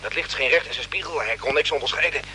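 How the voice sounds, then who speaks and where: A man's voice: on the high side, fast talker, pushed and bright. A man acting an earnest, dutiful space freighter crewman in mid-century radio drama, deferential toward his superiors. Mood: earnest, shaken. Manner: deferential, earnest, hesitant when questioned. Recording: humming, noisy old radio drama recording.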